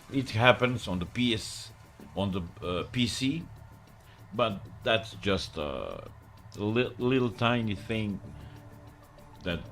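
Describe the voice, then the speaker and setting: Low-voiced, slow Croatian-accented male streamer, rambling chat with filler pauses, faint music behind. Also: fairly bright tone. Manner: rambling, many filler pauses, broken English grammar. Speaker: livestreamer. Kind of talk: casual live-stream chat with viewers.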